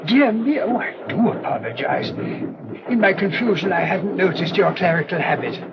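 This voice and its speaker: English country priest, old radio play: lightish high voice, meek, garrulous, apologetic; dulled vintage sound. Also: male voice, big pitch swings, moderate pace. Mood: flustered, apologetic. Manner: apologetic, deferential, flustered, wordy. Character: humble, fussy, garrulous English parish priest. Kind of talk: old-time radio drama acting. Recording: dull, noisy old radio recording.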